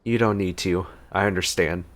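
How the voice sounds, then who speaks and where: A man's voice: low-pitched, steady tone, normal speed, a bit bright. A man giving casual gameplay reaction commentary, conversational and a little hesitant in his delivery. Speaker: gaming video host.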